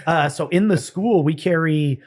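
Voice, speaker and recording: A man with a slightly raspy voice chatting casually on a podcast, laid-back and slangy, swearing freely. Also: a little high, normal speed, darkish tone. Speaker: podcast guest, jiu-jitsu gym owner and coach.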